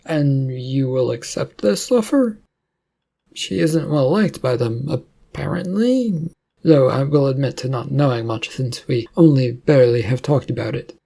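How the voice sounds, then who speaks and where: A wary, suspicious young man who talks slowly and hesitantly, voiced by the narrator. The voice is gravelly and husky, set at an ordinary pitch. He is a hero in a read-aloud storybook. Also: lively intonation, warm tone.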